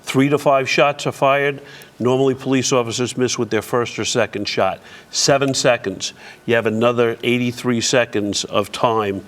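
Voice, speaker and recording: A man's voice: mid-range voice, fairly even intonation, normal speed. A man, giving expert commentary in a studio in short declarative statements. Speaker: former police officer and private investigator, studio guest. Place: television studio.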